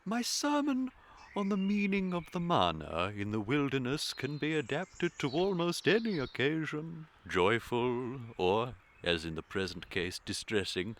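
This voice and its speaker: A man's voice: mid-range voice, sing-song, fairly bright tone, slight background noise. An actor playing a fussy, kindly middle-aged English clergyman in a radio comedy, pompous and unctuous in manner. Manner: unctuous, deliberate, sermonising. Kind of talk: period stage comedy radio acting.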